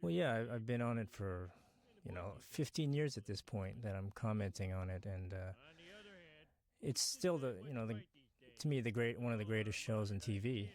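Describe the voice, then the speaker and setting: Earnest low-voiced animator, a man. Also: normal speed, a little mellow. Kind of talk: casual audio commentary.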